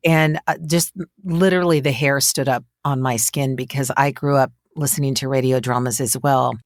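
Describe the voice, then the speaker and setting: A woman's voice: very deep voice, steady tone, normal speed, no room sound, clean studio sound. A woman hosting a casual podcast interview in a conversational, complimentary way.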